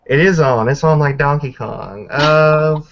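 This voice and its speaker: A man casually narrating a tabletop roleplaying fight, halting and thinking aloud as he goes. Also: on the high side, vocal fry, a bit bright. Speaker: player narrating a character's combat moves. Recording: dull lo-fi voice recording.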